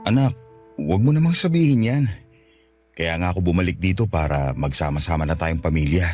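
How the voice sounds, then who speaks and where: A man's voice: low voice, lively intonation, brisk, a little mellow, faint music behind. A man playing a repentant estranged father in a radio drama, pleading and emotive. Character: repentant estranged father begging to rebuild his family. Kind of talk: Tagalog radio drama acting.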